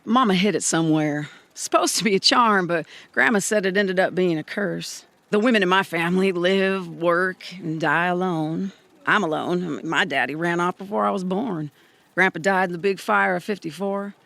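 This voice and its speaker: A woman playing an exasperated, sharp-tongued Southern country charmer in a musical audio drama. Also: fairly low pitch, normal speed, creaky, no echo. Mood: gloomy.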